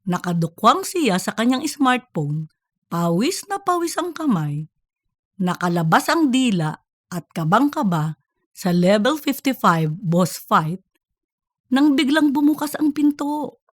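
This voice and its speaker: A woman's voice: on the high side, sing-song, slow pace, no room sound. Woman narrating a story, dry close sound. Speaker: audiobook narrator. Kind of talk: scripted comedic story narration.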